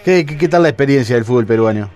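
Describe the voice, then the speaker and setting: A man co-hosting a casual sports chat, animated and stumbling into his questions. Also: mid-range voice, fast talker. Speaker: co-host.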